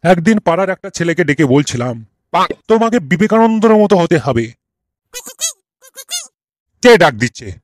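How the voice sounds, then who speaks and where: A man's voice: a little high, very animated, normal speed, pauses often, dry recording. A man playing a cranky old schoolmaster in a comic cartoon, scolding and ranting with exasperated, theatrical rhetorical questions. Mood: irritated. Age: older.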